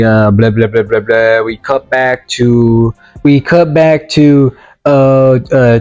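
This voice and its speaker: A man with a medium-pitched voice talks through a movie review in a loose, casual way. He stumbles and repeats words as he goes, slipping into mock-earnest sarcasm. Music plays behind him. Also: a little mellow. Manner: halting, stammering delivery with repeated words. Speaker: video reviewer.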